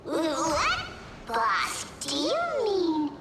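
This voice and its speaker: A woman voicing a small, excitable animal sidekick in a video game, whiny and boastful in an exaggerated, theatrical way. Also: sits high, extremely slow, heavily acted. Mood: confused. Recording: echoey hall reverb.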